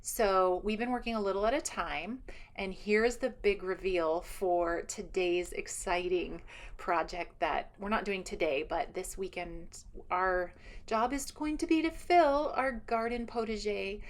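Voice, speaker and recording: A woman's voice: mid-range voice, a little slow. A woman giving a casual, enthusiastic garden tour outdoors, rambling and thinking aloud as a vlogger does. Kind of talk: casual chatty walk-around narration.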